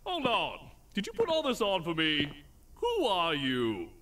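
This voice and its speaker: A man's voice: high voice, wildly swooping pitch, a little slow, a bit bright, slight background noise, full character voice. A man voicing a cocky, sarcastic vampire in a comic anime parody dub, with a flippant, smug, slangy way of talking. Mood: bantering. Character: cocky sarcastic male vampire, crude and playful. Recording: background music behind the voice.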